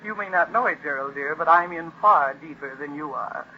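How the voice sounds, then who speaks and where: Actress as a vain, scheming young Broadway star. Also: female voice, deep voice, brisk, close to an everyday voice. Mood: cool, scheming. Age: young adult. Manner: theatrical, teasing, sarcastic. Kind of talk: 1940s radio drama acting. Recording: muffled vintage 1940s radio recording.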